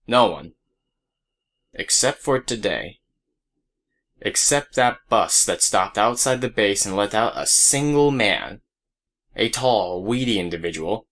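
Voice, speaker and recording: A man's voice: on the low side, a bit bright. A man narrating a scripted audio drama as a storyteller who speaks straight to the listener. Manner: storyteller addressing listeners directly.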